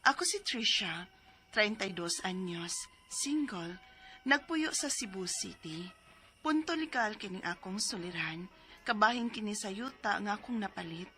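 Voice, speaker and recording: A woman's voice: mid-range voice, animated, a little slow, bright, forceful tone, faint music behind. An actress playing an earnest single woman in her thirties in a Cebuano Filipino radio drama, narrating and chatting. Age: 30s.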